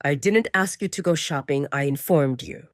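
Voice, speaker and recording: A woman's voice: deep voice, moderate pace, dry recording, studio quality, voice pushed down, lightly acted. A woman voicing a bossy young male werewolf alpha with a curt, commanding manner in an audio drama.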